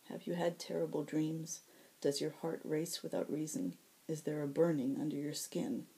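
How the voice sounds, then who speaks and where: A woman reads as a courtly fae lady from a fantasy storybook. Her voice is low and mellow, with a gentle, composed and formal manner. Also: moderate pace, gravelly, rough recording. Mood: gentle concern.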